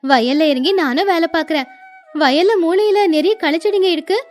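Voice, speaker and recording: A woman's voice: very high voice, expressive, moderate pace, soft background music. A woman voicing an earnest, dutiful young village daughter-in-law who speaks respectfully in a moral-story cartoon. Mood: confident, respectful.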